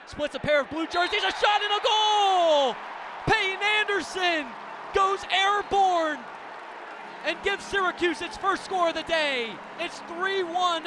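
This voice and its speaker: A man calling live lacrosse play-by-play on the radio in clipped present-tense phrases that build with the action. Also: very high voice. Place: live broadcast at a sports venue. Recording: noisy outdoor stadium ambience.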